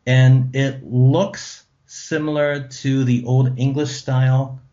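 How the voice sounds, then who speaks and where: Slow-spoken German-born man, hesitant. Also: mid-range voice, little pitch movement, dark tone, muffled recording. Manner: thoughtful, hesitant with many ums. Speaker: author and translator, guest. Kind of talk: radio interview.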